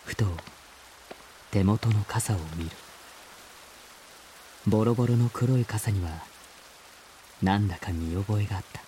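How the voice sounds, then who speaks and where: An actor playing an earnest, wistful man who narrates his boyhood memories in a measured, reflective way, as in a radio drama. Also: lowish voice, steady tone, warm tone, slight background noise, natural voice, rough recording. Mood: quiet wonder. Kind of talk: scripted first-person radio drama narration.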